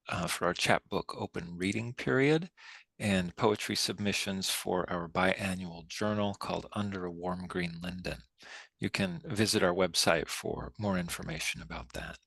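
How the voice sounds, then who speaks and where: A man hosting and moderating a literary event, giving a prepared introduction in a formal, measured way. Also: sits low, a bit bright, dry recording. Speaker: host and moderator of a poetry reading. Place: online video event.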